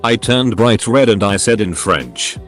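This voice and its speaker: A man reading online stories aloud as a scripted narration, in a lively, expressive storytelling manner. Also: on the low side, a little slow, faint music behind.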